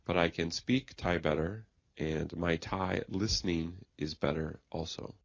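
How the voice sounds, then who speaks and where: A man speaking slowly in a simple, carefully worded conversation made for English learners. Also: low-pitched, fairly even intonation, vocal fry, no room sound, highs cut off. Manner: plain, careful wording. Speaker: conversation partner in English lesson.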